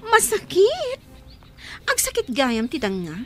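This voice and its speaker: A woman's voice: extremely high pitch, huge pitch swings, takes it slowly, faint music behind, heavily acted. A sly scheming woman. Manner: sly, mocking. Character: scheming woman plotting revenge.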